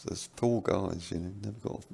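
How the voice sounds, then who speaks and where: A man's voice: low voice, moderate pace, gravelly, crowd noise. A man giving casual panel commentary with dry, sarcastic humour. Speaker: commentator on discussion show.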